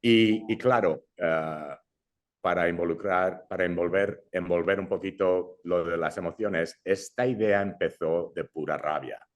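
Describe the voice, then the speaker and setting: A man's voice: low voice, unhurried. A young adult man from Brazil who grew up in Madrid, a filmmaker talking casually in an interview, often dropping English fillers and restarting his sentences. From Brazil, raised in Madrid. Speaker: filmmaker guest.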